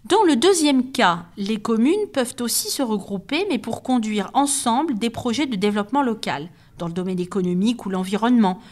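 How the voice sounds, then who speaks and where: A woman's voice: on the high side, lively intonation, fairly quick, hardly any pauses, darkish tone. A woman giving a structured educational podcast explanation in a didactic, methodical manner, signposting each point.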